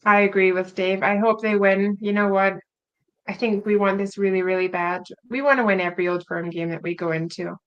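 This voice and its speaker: A woman's voice: medium pitch, fairly even intonation, fairly quick, highs cut off. A woman from Scotland with a North American-influenced accent, co-hosting a casual podcast in an earnest, upbeat way. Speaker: podcast co-host.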